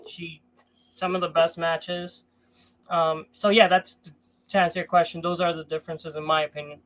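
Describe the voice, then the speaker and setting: A man's voice: fairly high pitch, level tone, normal speed, a bit bright, low-quality recording. A man with a nasal voice, a podcast panelist giving chatty, hedging analysis with many fillers. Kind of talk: casual panel chat.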